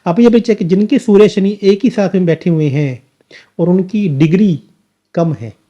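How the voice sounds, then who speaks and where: Dark-voiced man explaining like a teacher. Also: sits high, moderate pace. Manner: emphatic teacher, asks rhetorical questions. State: slightly sore throat. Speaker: astrologer guest. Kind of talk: podcast conversation, explaining astrology.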